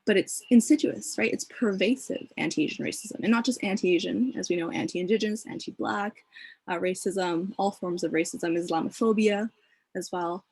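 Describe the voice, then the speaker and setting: A woman's voice: medium pitch, moderate pace, creaky, a little mellow, breathy edge, no room sound, rough recording. A young woman on a panel, speaking over a video call in a thoughtful, earnest way with many fillers. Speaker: panelist, community advocate.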